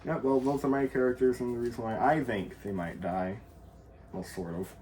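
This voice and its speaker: A young man as co-host in casual chat, giving brief, low-key answers. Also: steady tone, normal speed, darkish tone. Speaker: co-host brother. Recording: echoey room with noise.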